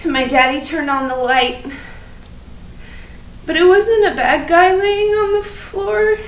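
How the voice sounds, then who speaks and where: A woman playing an innocent, chatty but fearful first-grade girl in a theatrical monologue, halting and repeating her words. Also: very high voice. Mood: distraught. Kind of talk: theatrical monologue at a staged reading. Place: indoor room at a live staged reading. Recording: roomy, distant, noisy live stage recording.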